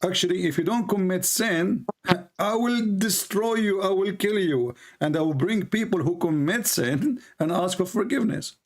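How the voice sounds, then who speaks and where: A man's voice: sits high, animated, moderate pace. Insistent man debating in non-native English. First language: Arabic. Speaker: host, religious debater. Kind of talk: argumentative live debate.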